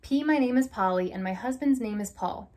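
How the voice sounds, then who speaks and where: A young woman reading a children's alphabet picture book aloud, slow and deliberate, stressing each opening letter, in a small room. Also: on the high side, expressive, a little mellow. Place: small room indoors by a curtained window.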